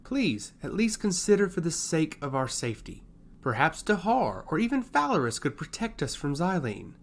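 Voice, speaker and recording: A man puts on a stoic female advisor from a fantasy tale, pitched up and varied in tone. She is composed and deferential, gently persuading her lord. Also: sing-song, moderate pace. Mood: careful pleading. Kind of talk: fantasy audio story.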